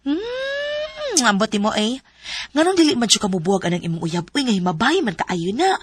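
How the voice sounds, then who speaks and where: A woman's voice: a little high, sing-song, normal speed, breathy edge. A woman acting the lead's frank, teasing friend in a radio drama, giving blunt advice. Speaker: supporting character, the lead's friend.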